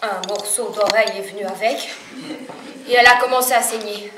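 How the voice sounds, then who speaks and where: An actress playing a wistful, fiery, worn-down laundress in a dramatic theatrical monologue. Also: female voice, medium pitch, steady tone, fairly quick, natural voice. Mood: defiant storytelling. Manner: dramatic storytelling, swinging from hushed to shouted. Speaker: actress performing a stage monologue. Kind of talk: theatrical French monologue with sung passages. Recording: echoey room from far off, faint noise.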